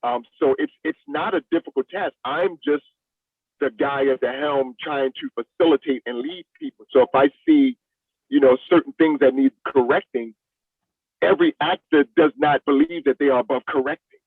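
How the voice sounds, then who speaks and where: A man being interviewed as a play director, answering in a halting way, repeating words as he searches for the next one. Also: mid-range voice, slightly rough. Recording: roomy, thin radio-like lo-fi sound.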